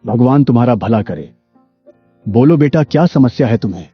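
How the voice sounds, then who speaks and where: A man playing a wise, solemn holy hermit who speaks with kindly, preaching benevolence in an animated story. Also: mid-range voice, moderate pace, close to an everyday voice. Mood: weary, kindly. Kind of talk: animated Hindi moral story acting. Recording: dull lo-fi audio with music behind.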